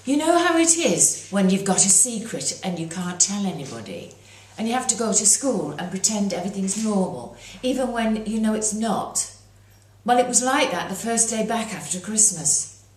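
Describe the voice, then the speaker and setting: Expressive woman reading a children's story. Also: mid-range voice, moderate pace. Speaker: author reading from own book. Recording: roomy echo.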